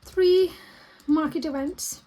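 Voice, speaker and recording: High-pitched Pakistani woman thinking aloud. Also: mellow, rough recording. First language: Urdu. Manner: thinking aloud, halting while typing. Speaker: vlogger and small business owner. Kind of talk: casual plan-with-me vlog talk.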